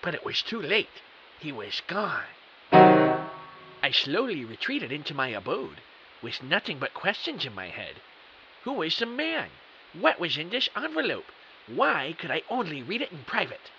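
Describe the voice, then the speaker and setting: Bewildered everyman narrator male actor, mystery audio drama. Very bright, forceful, melodramatic, over faint hiss. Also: a little high, huge pitch swings, heavily acted. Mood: dramatic, intrigued. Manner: earnest, melodramatic, deliberate emphasis. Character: bewildered computer technician narrating his own mystery. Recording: dull, hissy audio.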